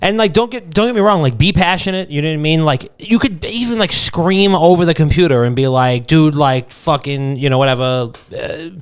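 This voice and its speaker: A man's voice: on the high side, brisk, hardly any pauses, smooth. A man co-hosting a podcast in casual, opinionated chat, blunt and emphatic with lots of cursing. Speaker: podcast co-host.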